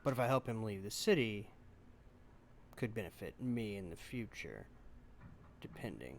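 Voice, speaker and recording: A man's voice: on the low side, sing-song, moderate pace, gravelly, a little hiss or hum. A man giving informal playthrough commentary on a video game, thinking aloud in a loose, sweary way. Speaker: gaming video host.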